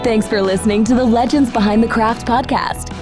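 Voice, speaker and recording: A woman reading a scripted podcast outro in a bright, polished way. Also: a little high, fairly even intonation, a little fast. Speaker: podcast announcer. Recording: voice over loud music.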